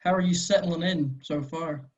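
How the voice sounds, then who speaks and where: A performer reading the part of a friendly, gently teasing man in his late twenties, with a husky voice, at a script table read. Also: mid-range voice, moderate pace, natural voice, low-quality recording.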